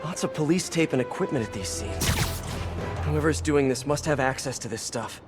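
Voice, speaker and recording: An actor playing an earnest young superhero detective in a video game, musing aloud with curiosity and worry over music. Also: male voice, mid-range voice, expressive, moderate pace, close to an everyday voice. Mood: curious. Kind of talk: video game voice acting, investigative monologue.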